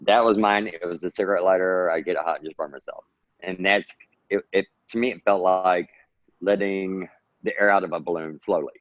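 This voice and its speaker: A man's voice: sits low, fairly even intonation, a little fast, no echo, highs cut off. A man from Texas, a guest author talking earnestly and at length in a casual interview, giving encouraging advice.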